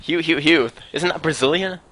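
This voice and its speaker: A man's voice: on the high side, normal speed, pushed and bright. A young British man casting a live esports match, upbeat and rambling, joking between rounds on a headset mic. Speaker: esports caster. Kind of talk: live game commentary.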